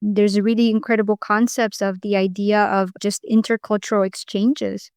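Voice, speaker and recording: A woman from Mexico answers as a podcast guest, her voice smooth and resonant. She sounds earnest and reflective, often restarting her sentences as she thinks aloud. The recording is dry and close. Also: mid-range voice, flat delivery, moderate pace, darkish tone, no room sound. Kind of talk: podcast interview.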